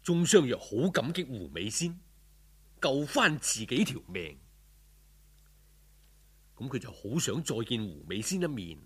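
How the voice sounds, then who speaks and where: A man's voice: medium pitch, big pitch swings, unhurried, long pauses, husky. A man with a Cantonese accent narrating a folk tale as a dramatic storyteller.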